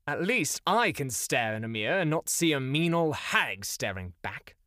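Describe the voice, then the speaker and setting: A man's voice: medium pitch, very animated, normal speed, sharp tone, no echo, studio quality. Man acting a wildly swooping, petulant narrator. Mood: indignant. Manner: indignant, theatrical. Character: proud, stubborn, petulant co-narrator of an audio drama. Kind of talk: audio drama bickering.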